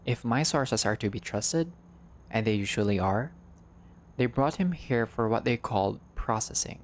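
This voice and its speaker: A man's voice: medium pitch, animated, normal speed. Man narrating a game over background noise. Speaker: gaming channel player reading a visual novel aloud. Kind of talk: playthrough narration, reading game text aloud.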